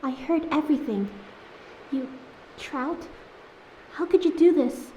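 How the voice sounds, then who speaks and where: Woman as a high-voiced, echoey captive young mermaid. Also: expressive, dark tone. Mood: hurt, accusing. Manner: halting, emotional, pleading. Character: young captive mermaid, proud, wounded and wary. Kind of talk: scripted fantasy audio roleplay. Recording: echoey tank-like reverb, faint ambience noise.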